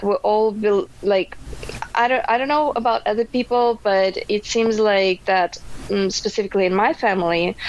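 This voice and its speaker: Woman from Russia, Russian native speaker, halting interview talk, lots of ums, light background noise. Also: mid-range voice, poor audio. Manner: hesitant, many ums and restarts. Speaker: podcast guest. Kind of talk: casual interview answers.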